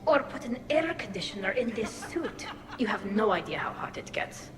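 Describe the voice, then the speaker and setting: A woman's voice: mid-range voice, very animated, moderate pace, natural voice. A woman playing a cheeky young female alien in video game dialogue. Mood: playful. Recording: echoey room with loud music.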